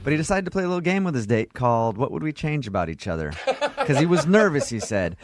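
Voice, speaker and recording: A man hosts a morning radio show with a quick, teasing delivery. His pitch sits a little high for a man. He jokes his way through lively banter. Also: crowd noise. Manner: joking, teasing.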